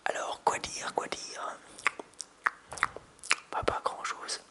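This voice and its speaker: A man in his thirties whispering softly into a close binaural microphone for ASMR, with hesitant restarts. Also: slow. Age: 30s. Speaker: ASMR creator. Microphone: close binaural mic with hand sounds.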